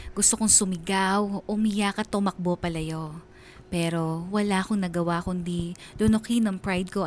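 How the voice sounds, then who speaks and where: A woman's voice: fairly low pitch, slight background noise, natural voice. A woman narrating as a troubled letter writer on a radio drama, heartfelt and confiding, with a Filipino accent. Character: troubled, disheartened female letter writer telling her story.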